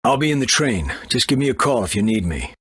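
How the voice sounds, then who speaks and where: A man's voice: medium pitch, sing-song, a little fast, a bit bright, husky, dry recording, clean studio sound, heavily acted. A man voicing a blunt, matter-of-fact duck doctor in a video game. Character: blunt, gloomy duck doctor, middle-aged male. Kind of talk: video game character acting.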